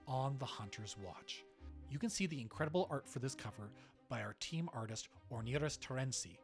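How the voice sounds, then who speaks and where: A man hosting and announcing a special in a scripted presenting style. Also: mid-range voice. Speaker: host and announcer of an anniversary special.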